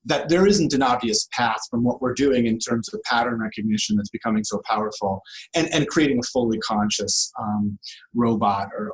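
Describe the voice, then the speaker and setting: A man's voice: fairly low pitch, poor audio. Male professor giving an interview, conversational. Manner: informal, rhetorical tag questions like "right?". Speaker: interview guest, law professor.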